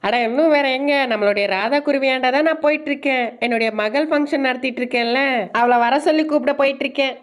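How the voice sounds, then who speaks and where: A chatty mother crow voiced by a woman rattles along quickly at a high pitch. She is excitable and colloquial, in cartoon story style. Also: close to an everyday voice. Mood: busy, cheerful. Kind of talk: Tamil moral-story cartoon dub.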